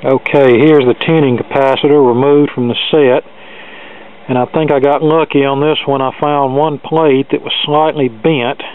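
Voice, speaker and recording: A man's voice: mid-range voice, steady tone, normal speed. A man giving an informal, matter-of-fact hands-on repair demonstration, explaining as he goes. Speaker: hobbyist radio repairer, presenter. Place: indoor cluttered electronics workbench. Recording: narrow phone-like sound.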